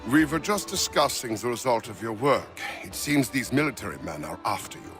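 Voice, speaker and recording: An actor playing a calm, persuasive rebel plotter in a video game, articulate and conversational. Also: male voice, medium pitch, a little fast, over music, natural voice. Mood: sly planning. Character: scheming rebel ally, man, calm and persuasive. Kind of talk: video game character dialogue.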